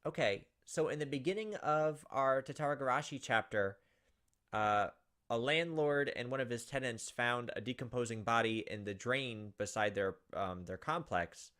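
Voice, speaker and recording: A man's voice: mid-range voice, moderate pace, fairly bright tone. A young man reading game text aloud and commenting casually as a let's play host. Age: young adult. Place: indoors at a computer, webcam.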